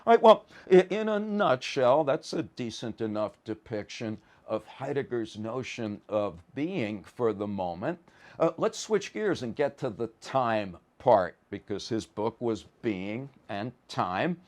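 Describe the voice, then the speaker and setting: A man's voice: medium pitch, big pitch swings, a little slow. A man lecturing informally on a course, explaining ideas in colloquial language with plain everyday examples and often stammering slightly as he starts a phrase. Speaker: lecturer in a psychology and philosophy course.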